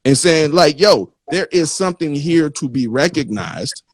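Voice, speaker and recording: A man hosting a casual interview, talking informally with slang in a halting way and often checking that he is followed. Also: a little high, animated, dry recording. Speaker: interviewer, magazine host.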